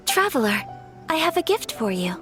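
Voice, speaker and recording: A high, soft-spoken young noblewoman, gracious and formally polite. Quiet game music sits faintly behind her. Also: big pitch swings, normal speed. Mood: gentle. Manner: formal, courteous speech. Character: graceful refined young noblewoman, polite and gentle.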